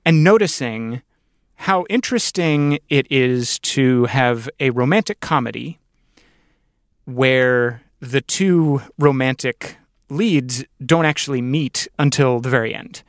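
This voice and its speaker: A man's voice: mid-range voice, dry recording. Articulate male interviewer, close dry sound. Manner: wordy, articulate, deliberate phrasing. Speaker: podcast host and interviewer. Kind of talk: thoughtful conversational interview.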